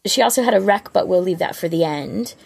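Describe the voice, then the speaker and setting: A woman's voice: a little fast, vocal fry, slightly rough. A woman co-hosting a casual fan podcast, chatting informally in an exclamatory, teasing way. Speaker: podcast co-host. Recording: faint rumbling background noise.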